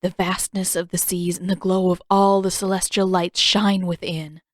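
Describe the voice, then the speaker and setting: A woman's voice: fairly low pitch, flat delivery, moderate pace, no room sound, natural voice. Grand older female fortune teller for a storybook, acted by a woman, solemn, florid and haughty, very dry sound. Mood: mystical awe. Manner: lofty, florid, solemn pronouncements.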